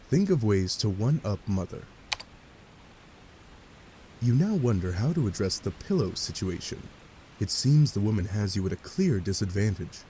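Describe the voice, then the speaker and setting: A man's voice: lowish voice, mellow, poor audio. A man narrating a scripted second-person story with a wry, dramatic storytelling manner, over faint hiss.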